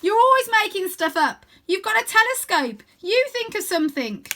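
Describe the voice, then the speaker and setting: Woman as a bossy storybook sister, young girl, high sharp voice, blunt, sarcastic. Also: very animated, moderate pace, a little hiss or hum, heavily acted. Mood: scolding. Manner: scolding, sarcastic.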